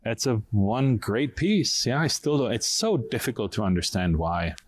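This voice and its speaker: A man's voice: medium pitch, very animated, moderate pace. A man, a music director, chatting casually and effusively about classical music. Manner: enthusiastic, effusive. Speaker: orchestra music director and conductor.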